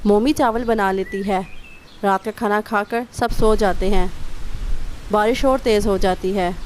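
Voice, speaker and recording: A woman's voice: medium pitch, fairly even intonation, measured pace, a little hiss or hum. A woman narrator reading a scripted children's story.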